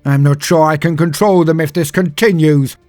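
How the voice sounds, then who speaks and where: A man's voice: fairly high pitch, steady tone, normal speed, darkish tone, no echo, faint music behind, voice pushed up, close to an everyday voice. A man voicing a stern, unflinching space marine sergeant in a dramatic story, commanding and menacing. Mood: stern warning. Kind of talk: dramatic storybook character acting.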